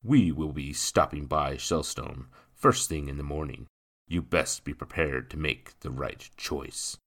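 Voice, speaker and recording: A man's voice: extremely low pitch, unhurried, a bit bright, heavily acted. A man voicing a stern official who issues a firm warning.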